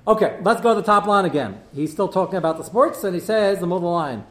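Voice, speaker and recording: A man leads a Torah class with a high-pitched voice, moving quickly between read-out Hebrew texts and his own explanations. His voice carries an echo from a roomy classroom. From United States. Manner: animated, reads out Hebrew texts and explains them. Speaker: rabbi teaching a class.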